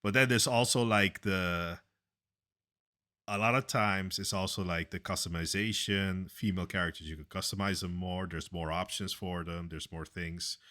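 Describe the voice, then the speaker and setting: A man with a very low, deep voice and a bright, forceful edge, talking casually on a podcast. He speaks loudly and with strong opinions. He often hesitates with fillers and restarts his sentences. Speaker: podcast co-host. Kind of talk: casual conversation.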